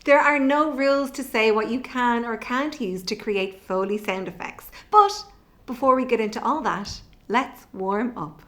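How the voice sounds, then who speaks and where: A woman's voice: on the high side, unhurried, fairly bright tone. A middle-aged woman presenting a children's theatre workshop, explaining simply and guiding with encouragement. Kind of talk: children's instructional video, explaining and leading warm-up exercises. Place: indoors in a bare room at home. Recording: echoey room.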